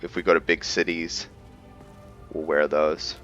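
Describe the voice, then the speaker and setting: A man with a low, forceful voice talks through his roleplay game playthrough at an unhurried pace. He thinks aloud in a halting, casual way, with music playing faintly behind him. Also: flat delivery, highs cut off. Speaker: gamer narrating a roleplay playthrough. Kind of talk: casual gameplay commentary with in-character lore explanation.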